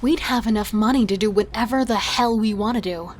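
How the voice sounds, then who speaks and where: A woman's voice: medium pitch, brisk, a little hiss or hum, lightly acted. A woman playing a confident, seductive serial killer who schemes and persuades with relish in an audio drama. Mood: excited, scheming. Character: cold, thrill-hungry female serial killer, confident and seductive. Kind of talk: audio drama acting with first-person narration.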